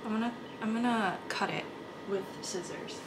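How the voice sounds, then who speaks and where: A woman's voice: medium pitch, moderate pace, noisy background. A young woman in a casual cooking vlog, talking through each step as she cooks in a small apartment kitchen. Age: young adult. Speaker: vlogger.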